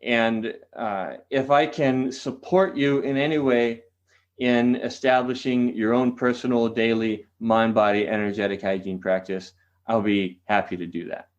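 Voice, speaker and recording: Male guest, qigong teacher, earnest and measured, mid-pitched, a bit dull sound. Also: fairly even intonation. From San Diego, California. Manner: earnest, restarts phrases often. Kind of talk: podcast interview answers.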